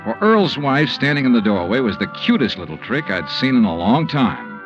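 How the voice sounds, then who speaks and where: A man's voice: mid-range voice, very animated, normal speed, close to an everyday voice. An actor playing a wry, weary insurance investigator who narrates his own case in a 1950s radio drama. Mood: narrating. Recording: muffled old radio broadcast with music.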